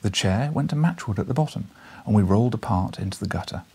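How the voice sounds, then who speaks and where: A British man in his thirties reads and talks as a storyteller. His voice is lowish and husky, with lively swings in pitch. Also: fast talker. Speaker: audiobook reader. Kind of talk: expressive story narration and casual address. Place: small book-lined living room, indoors.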